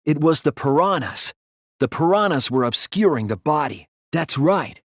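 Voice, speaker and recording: An earnest young male detective from an anime video game. His voice is husky and swings widely in pitch as he reasons things through with deliberate care. The recording is dry and close, with no room sound. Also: expressive, dry recording. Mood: firm, deducing. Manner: earnest, deliberate, deductive, sometimes hesitant. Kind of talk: dramatic anime game dialogue.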